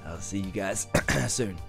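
A young man with a husky morning voice, hosting an excited, slangy music reaction vlog from a small bedroom on a studio mic. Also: deep voice, takes it slowly. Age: young adult. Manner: excitable, animated, exclamatory, slangy. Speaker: reaction channel host. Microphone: studio mic in front, headphones on.

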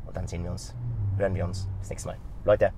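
A man's voice: low-pitched, quick pace, gravelly, slight background noise. Stuffy-nosed man vlogging from a car. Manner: energetic, gesturing with his hands, talks straight to the viewer. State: stuffy-nosed with a slight cold. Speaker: vlogger and company founder. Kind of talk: casual talk to camera. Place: inside a car in city traffic. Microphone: phone camera mounted in the car.

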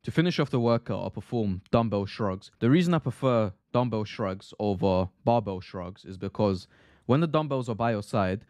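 A man's voice: on the low side, animated, normal speed, a little mellow, dry recording, studio quality. A young man narrating his own gym vlog, explaining training in an earnest, instructive way and repeating phrases for emphasis. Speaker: fitness vlogger.

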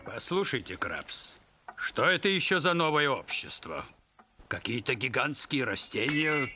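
A man playing a grumbling, scheming, domineering rich tycoon in an animated film. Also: mid-range voice, unhurried, loud music behind the voice. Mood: disdainful. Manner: grumbling, commanding. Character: domineering wealthy tycoon, middle-aged man, scheming and impatient.

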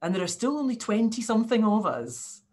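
A woman's voice: fairly high pitch, very animated, a little fast, darkish tone. An older woman from Scotland, a professor giving an earnest, informal speech over a video call. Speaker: university professor, panel speaker. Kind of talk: informal speech at an online launch. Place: living room at home, indoors.

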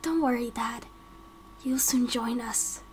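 A woman voicing an eerily calm, quietly menacing hypnotized young man in an amateur game roleplay. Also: fairly high pitch, takes it slowly, slight background noise. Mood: quiet menace.

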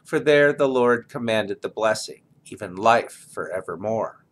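A man in his sixties reads Bible verses aloud in a solemn style, with measured, declamatory phrasing, indoors near the mic. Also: lowish voice, animated. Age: 60s. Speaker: scripture reader. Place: small indoor room, office chair.

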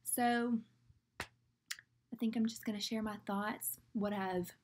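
A woman's voice: medium pitch, fairly even intonation, slow pace. Young Southern woman, casual rambling vlogger, webcam in a small room. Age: young adult. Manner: chatty, rambling, fillers like "like". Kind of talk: casual talk to camera.

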